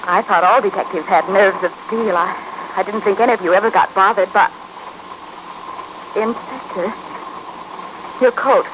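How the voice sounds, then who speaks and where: A woman's voice: medium pitch, moderate pace, long pauses, muffled recording, lightly acted. Woman playing a polite young lady, noisy old radio. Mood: curious, uneasy. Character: young woman train passenger, polite, chatty, trusting. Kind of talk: 1950s radio thriller acting.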